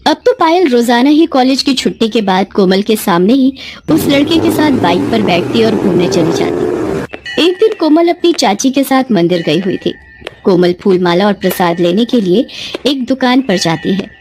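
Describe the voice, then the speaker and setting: A woman's voice: a little high, lively intonation, moderate pace, noisy background. A woman reading scripted moral-story narration as the narrator.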